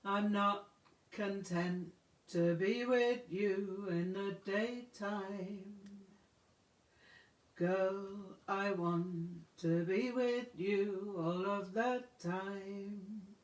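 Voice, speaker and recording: Woman acting young foreign woman, playful, inquisitive, lilting, deliberate phrasing, unhurried, echoey room. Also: medium pitch, steady tone, distant mic. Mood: playful, singing out.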